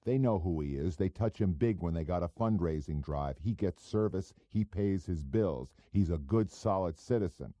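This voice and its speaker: A man's voice: deep voice, dark tone, dry recording, voice pushed up, natural voice. A man playing a sneering, talkative, cynical wise-guy operative with a cocky, slangy manner in an audiobook.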